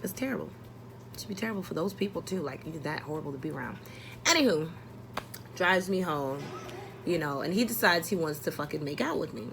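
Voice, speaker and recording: A woman's voice: low-pitched, animated, fairly quick, creaky, noisy background. A young adult woman telling a personal story casually, exasperated and blunt, acting out dialogue and swearing often.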